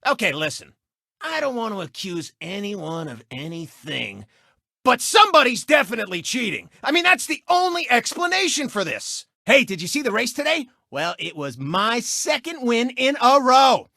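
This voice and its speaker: A man's voice: very high-pitched, big pitch swings, normal speed, vocal fry, bright, forceful tone, no room sound, studio quality, exaggerated voice. A man voicing a cocky, sneering race car who hosts a TV show in a video game, boastful and mocking, in a showy broadcaster's delivery. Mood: indignant. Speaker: TV sports show host and racer. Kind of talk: video game character clips, hammy sportscast commentary.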